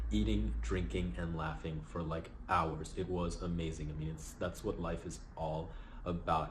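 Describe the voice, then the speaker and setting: Low-voiced man, tired and a bit raspy. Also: slight background noise, low-quality recording. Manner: relaxed, reflective, keeps adding "right?". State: low energy, slightly raspy after a long night. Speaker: vlog host. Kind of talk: casual motivational vlog talk.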